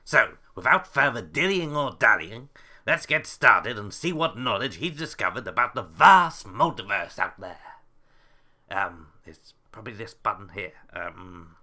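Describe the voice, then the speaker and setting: A man's voice: fairly low pitch, lively intonation, unhurried, bright, forceful tone, exaggerated voice. A man playing a pompous, scatterbrained mad scientist who rambles theatrically in an audio comedy. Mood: muttering, absent-minded. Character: scatterbrained, self-important mad scientist inventor. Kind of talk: scripted audio comedy monologue.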